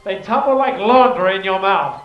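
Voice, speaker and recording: Dull and echoing from a distance, an excitable carnival barker played by a man hawks in an extremely high voice. His pushy patter has a sing-song lilt. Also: moderate pace, close to an everyday voice. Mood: excited, hawking. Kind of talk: audio drama carnival barking. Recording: dull, distant, roomy, music underneath.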